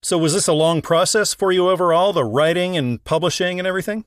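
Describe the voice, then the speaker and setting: A man's voice: high-pitched, quick pace, dry recording, clean studio sound. A man hosting an interview show, moving between scripted introductions and questions to guests. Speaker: podcast host and interviewer.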